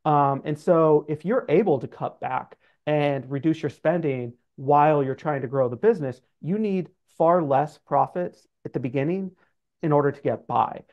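Higher-voiced man explaining, slightly dull audio. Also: normal speed. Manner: informal, hedging with like and sort of. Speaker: guest, financial adviser. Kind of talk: conversational explaining. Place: office room, indoors. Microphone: video call. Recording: dull video-call audio.

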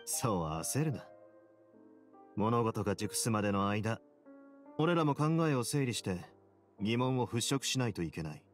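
A man playing a composed, shrewd prison duke in an anime game, explaining things in a calm, measured, confident way. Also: on the low side, soft background music. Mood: calm, reasoning.

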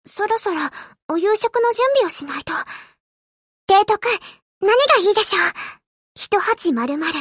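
A woman voicing a gentle, eager young ship girl in an anime game, sweet, polite and earnest, a little hesitant. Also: extremely high pitch, lively intonation, measured pace, pauses often, dry recording, studio quality. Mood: gentle, homely. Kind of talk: Japanese anime game character voice lines.

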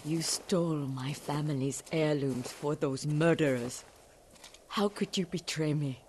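An actress playing a stern, grieving older noblewoman of a samurai clan in a video game, speaking gravely and with command. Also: deep voice, measured pace, a little mellow, slight background noise. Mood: anguished, accusing. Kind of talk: dramatic video game acting.

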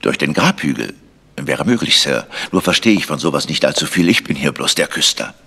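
A deferential, evasive village sexton in a radio mystery. His voice is very low, sharp and husky, guarded in manner, ringing in a long reverb. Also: animated, a little fast, heavily acted. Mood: earnest, careful. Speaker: actor in a German radio crime play. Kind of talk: German radio mystery acting.

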